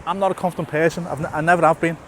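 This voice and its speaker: A man's voice: fairly high pitch, little pitch movement, very fast. Casting note: man, lisp, stutters and restarts, vlogging outdoors over faint traffic. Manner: stutters, repeats and restarts words, fillers. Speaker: YouTuber. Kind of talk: casual walk-and-talk vlog. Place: outdoors in a town, by a tunnel.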